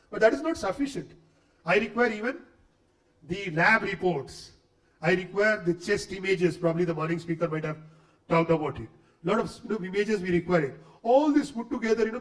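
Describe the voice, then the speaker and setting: A middle-aged man with an Indian English accent, lecturing a room of faculty with a cold, chatty and full of questions for the audience. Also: high voice. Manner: conversational, asks the audience questions, says okay often. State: has a cold, voice not clear. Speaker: guest lecturer. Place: lecture hall. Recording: echoey hall, speaker far from mic.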